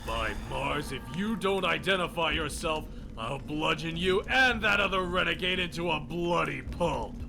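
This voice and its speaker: A man's voice: a little high, expressive, normal speed, creaky, hardly any pauses, music in the background. A man playing a haughty, corrupt Roman-style legion officer in a video game, speaking in a formal, imperious, theatrical way. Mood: indignant threatening. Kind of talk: video game character dialogue.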